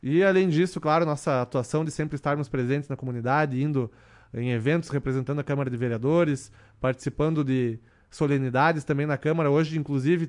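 A man in his thirties, a councilman, answering questions in a radio interview in a measured way, with many fillers and restarts. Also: on the high side, darkish tone. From southern Brazil. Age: 30s. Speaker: city councilman, radio guest.

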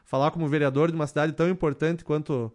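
Brief: man in his thirties, councilman guest on a radio show, steady, measured delivery with frequent fillers. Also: a little high. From southern Brazil. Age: 30s. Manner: measured, many fillers and restarts. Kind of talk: radio interview answers.

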